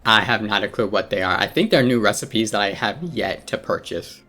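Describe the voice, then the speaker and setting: A man's voice: lowish voice, animated, brisk, pushed and bright, soft background music. A man giving casual, informal playthrough commentary, rambling along as he hosts a gaming video. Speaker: gaming channel host.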